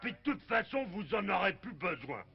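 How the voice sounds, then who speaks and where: A man's voice: high voice, big pitch swings, normal speed, vocal fry, breathy edge, full character voice. An actor playing a big, hungry, good-natured male Gaul warrior who talks with a chuckle, on a dull old cartoon soundtrack with hiss. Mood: jovial.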